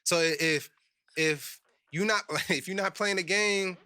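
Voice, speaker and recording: A man's voice: high voice, a little slow, bright, forceful tone, clean tone, dry recording. A young man from Phoenix, a guest rapper, talking bluntly and emphatically in a casual podcast chat. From Phoenix, Arizona. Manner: blunt, emphatic, swears freely.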